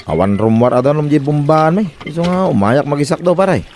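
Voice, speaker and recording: A man's voice: on the high side, animated, a little fast, a little mellow, slight background noise. A man with an Ilocano accent playing a plain-spoken village friend who gives cautions in a comedy radio drama. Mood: friendly.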